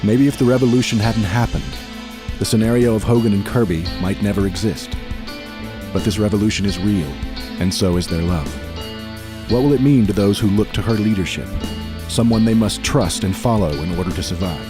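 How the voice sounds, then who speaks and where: A man's voice: lowish voice, fairly quick. A man giving dramatic scripted narration as the narrator of a serial audio drama. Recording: music behind the voice.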